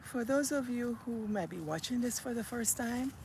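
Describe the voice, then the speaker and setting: Gravelly-voiced middle-aged Guyanese woman vlogging. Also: on the high side, lively intonation, moderate pace, a little mellow, slight background noise. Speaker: vlogger and host. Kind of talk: casual vlog chat. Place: outdoors near home in a village.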